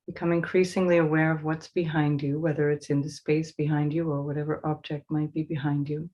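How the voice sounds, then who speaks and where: Woman guiding meditation in an even tone. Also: low-pitched, dull audio. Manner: slow, soothing instruction with pauses. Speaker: meditation teacher. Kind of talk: guided meditation.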